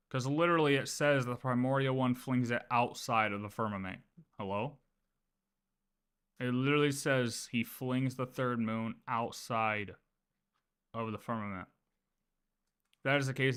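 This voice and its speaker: A man with a bright, forceful voice talks like a casual game streamer walking chat through lore. He is animated and informal, repeating himself and tossing in quick check-ins as he explains. His pitch sits in an ordinary male range. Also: clean tone. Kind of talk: casual livestream lore explanation, chatting with viewers.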